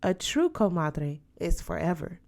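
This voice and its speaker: A woman's voice: on the low side, very animated, unhurried, creaky, darkish tone, full character voice. A woman voicing an older godmother aunt in an audiobook, firm, affectionate and dutiful, counselling emphatically. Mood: firm, wise. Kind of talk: audiobook character voice.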